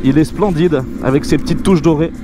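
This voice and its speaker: A man's voice: fairly high pitch, a little fast. A man giving an informal, enthusiastic product review to his viewers as a motorcycle vlogger. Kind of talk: casual product review voice-over. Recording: loud music behind the voice. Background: loud music.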